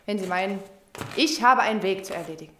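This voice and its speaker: A woman playing a sharp, cantankerous old neighbour. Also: mid-range voice, quick pace, reverberant, a little hiss or hum, close to an everyday voice. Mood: proud, grim. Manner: brusque, accusatory, blunt. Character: cantankerous, suspicious old woman neighbour, crude, proud, onion-obsessed. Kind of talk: radio crime play acting.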